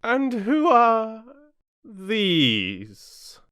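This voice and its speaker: A storyteller voicing a furious, imperious queen in a shrill, loud voice, severe and impatient, barking out commands. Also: huge pitch swings, drawn-out words, smooth, no room sound, studio quality, heavily acted. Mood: severe, demanding.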